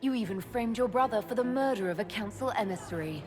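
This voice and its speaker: An actress playing a composed, commanding, coolly sardonic Inquisitor in a fantasy game, with measured, pointed delivery. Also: female voice, fairly high pitch, expressive, big-room sound, over music, natural voice. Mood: accusing, triumphant. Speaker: game character voice actor. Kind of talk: cinematic fantasy game dialogue.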